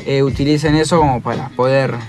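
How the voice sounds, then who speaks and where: A man's voice: medium pitch, fairly even intonation, a little fast, a little mellow, faint music behind. A man with an Argentine accent bantering casually as a radio co-host.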